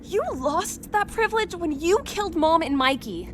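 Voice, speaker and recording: A hardened, bitter sister in a horror game. Her high, lively voice is terse and accusing, guarded even when she speaks plainly. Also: moderate pace, noisy background. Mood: bitter accusation. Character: bitter, guarded, sharp-tongued younger sister hardened by betrayal. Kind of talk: horror game cutscene acting.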